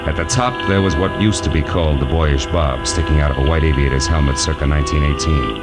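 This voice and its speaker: An actor playing a wisecracking, world-weary private detective in 1940s radio noir style, wry and clipped. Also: male voice, rumbling low, quick pace. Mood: wry narration. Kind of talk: 1940s radio noir acting and first-person narration. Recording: vintage 1940s radio recording, music behind.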